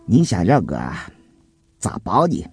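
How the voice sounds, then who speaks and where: A man's voice: low voice, huge pitch swings, unhurried, vocal fry, a little mellow, slightly rough, dry recording, soft background music, pitched below the performer's own voice, heavily acted. Man acting a sneering, hard-hearted gangster boss. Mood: cool, dismissive. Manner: sneering, dismissive, coarse slang. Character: jaded, hard-hearted gangster boss, scornful, gruff male. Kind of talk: dramatised audiobook character acting.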